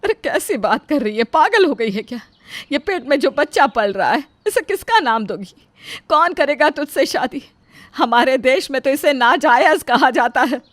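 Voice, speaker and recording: A woman's voice: high voice, lively intonation, normal speed, no echo. A woman playing an alarmed, scolding middle-aged mother worried about shame in a moral story. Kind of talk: dramatized Hindi moral story dialogue.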